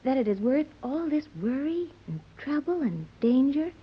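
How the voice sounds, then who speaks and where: Naive young island woman, formal and literal, high swooping voice, vintage radio drama, muffled recording. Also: sing-song, moderate pace. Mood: grave, instructive. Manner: precise, formal, stilted diction. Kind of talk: 1930s radio serial acting.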